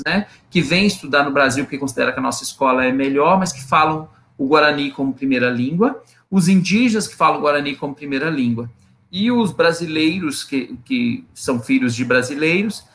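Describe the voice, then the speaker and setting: Hesitant man, bright voice. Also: fairly high pitch, a little hiss or hum. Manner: hesitant, many restarts. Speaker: guest researcher. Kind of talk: live online panel talk.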